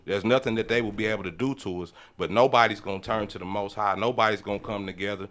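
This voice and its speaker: A man's voice: lowish voice, fairly even intonation, quick pace, fairly bright tone. A man in an impassioned, casual panel discussion, emphatic and exhorting, repeating phrases to drive his point home. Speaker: podcast panelist.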